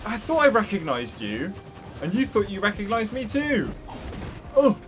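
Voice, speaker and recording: A man giving excitable gameplay commentary who cheers his character on as he plays. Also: sits high, moderate pace. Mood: excited. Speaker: gaming streamer. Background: game music and sounds.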